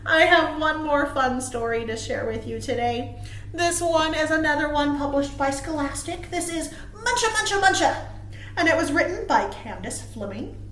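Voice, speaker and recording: A woman's voice: sits high, normal speed, reverberant, poor audio. A woman reading a picture book aloud to young children, expressively and with dramatic emphasis, as a librarian at storytime. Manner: expressive, asks listeners questions, dramatic emphasis.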